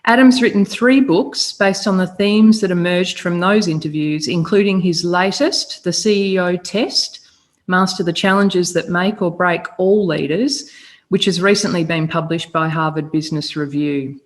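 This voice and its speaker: Woman host, lowish voice, enthusiastic. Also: moderate pace. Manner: enthusiastic, reads a prepared bio. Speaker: podcast host. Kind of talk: interview hosting.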